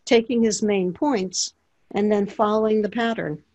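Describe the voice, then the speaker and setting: Woman interviewer with a steady voice. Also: mid-range voice, unhurried. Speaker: interviewer, ghostwriter. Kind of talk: conversational interview.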